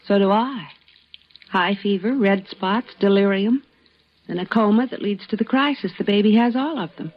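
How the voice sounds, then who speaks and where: A woman's voice: medium pitch, normal speed, natural voice. An actress playing a determined frontier woman doctor in an old-time radio western, plain-spoken and earnest. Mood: grave, composed. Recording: dull, slightly noisy old radio recording.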